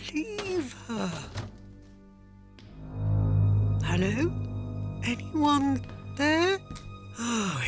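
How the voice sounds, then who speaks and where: Wronged housemaid, really high voice, slow and swoopy, indignant, radio play with music. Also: female voice, exaggerated voice. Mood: bitter, wary. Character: indignant, wronged female servant of a grand household. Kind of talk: audio drama acting.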